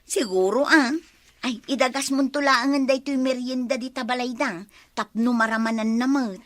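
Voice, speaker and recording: A woman playing a friendly, hospitable housewife in an Ilocano radio drama, chatting warmly with the neighbours. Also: high voice, fairly even intonation, moderate pace, no room sound, natural voice.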